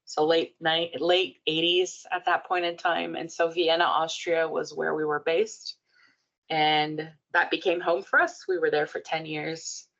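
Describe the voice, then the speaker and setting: Brazilian woman in her 40s, Portuguese native, low with vocal fry, slightly muffled recording, reflective interview guest. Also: a bit bright. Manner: thoughtful, many fillers and restarts. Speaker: clinical psychologist guest. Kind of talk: podcast interview, personal story.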